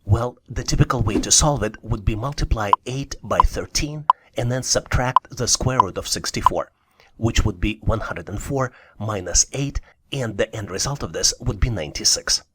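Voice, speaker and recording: A man's voice: lowish voice, normal speed, very noisy. A man narrating a scripted puzzle tutorial as an instructor, encouraging and speaking directly to the listener. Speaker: online test-prep instructor.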